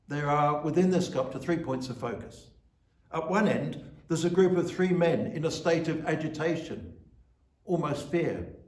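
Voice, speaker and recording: Measured male preacher in an echoey room. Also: medium pitch. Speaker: church officiant giving a reflection. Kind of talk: sermon with scripture reading and prayer. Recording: roomy, echoing sound.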